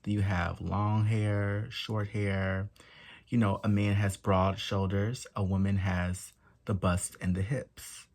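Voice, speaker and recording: A soft-voiced man giving a measured explanatory talk as presenter, listing points with short pauses and fillers. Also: on the low side, flat delivery.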